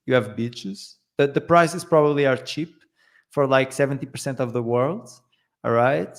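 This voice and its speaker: A man's voice: mid-range voice, moderate pace. Chatty laughing man from Portugal. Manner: laughs often, loose and chatty. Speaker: guest. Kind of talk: casual livestream chat.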